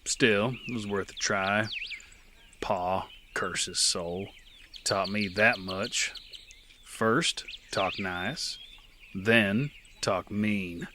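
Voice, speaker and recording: A rugged, world-weary gunslinger, voiced by a man tells his own story in a dry, clipped way. His voice sits a little low but rings bright and sharp. The narration is steady and unhurried, like an audio drama lead. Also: slight background noise, heavily acted.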